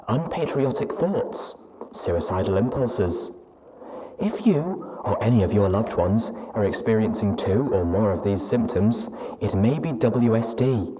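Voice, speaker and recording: A man voicing a clinical wartime public health notice in a formal, deliberate, earnest way. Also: on the low side, background noise.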